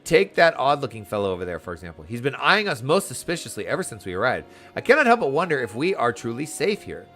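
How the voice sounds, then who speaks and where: A man plays an eager young female receptionist in a fantasy video game. She is courteous and a little anxious, speaking in formal, effusive phrases at an average pitch over faint music. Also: sing-song, fast, hardly any pauses, sharp tone. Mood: anxious, polite.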